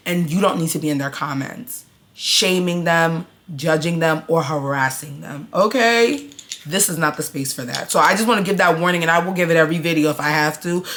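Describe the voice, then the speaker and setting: Blunt low-voiced woman in her twenties. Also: a bit bright. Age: 20s. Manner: blunt and emphatic, addressing viewers directly. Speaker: vlogger. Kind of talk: casual vlog talk to camera.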